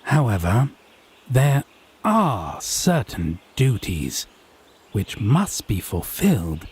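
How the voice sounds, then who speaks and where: Guy doing a haughty teasing fae man, really slow n drawn out, voice swoops around, wry and theatrical. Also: fairly low pitch, wildly swooping pitch, breathy edge, heavily acted. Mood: softer, earnest. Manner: theatrical, wry, drawn-out deliberate phrasing with formal wording. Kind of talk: scripted audio roleplay addressed to the listener.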